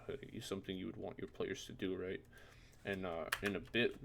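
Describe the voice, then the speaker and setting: A man who is a non-native English speaker, presenting a casual, unscripted software tutorial in an informal, self-deprecating way with muttered asides. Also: low voice, noisy background. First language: not English.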